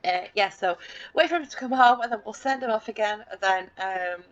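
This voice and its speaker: A woman's voice: mid-range voice, fairly quick, lo-fi sound. Rambling woman narrating her game. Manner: chatty, rambling, many fillers and restarts; self-deprecating, lightly laughing. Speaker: let's play gamer. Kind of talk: casual gameplay commentary.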